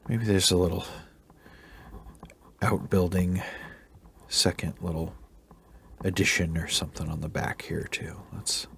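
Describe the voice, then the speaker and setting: Male art tutor, deep raspy voice fighting a cold, soft relaxed commentary. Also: a little slow, a little hiss or hum. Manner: soft-spoken, thinking aloud while sketching, sometimes half-whispered. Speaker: drawing tutorial host.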